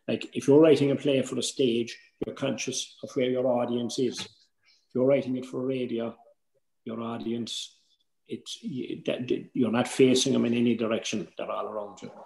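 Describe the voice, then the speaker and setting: Gravelly older Irish man in a roomy space. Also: mid-range voice, flat delivery, moderate pace, darkish tone. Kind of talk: informal workshop talk. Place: indoor room at home, on a video call. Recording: roomy home video-call audio.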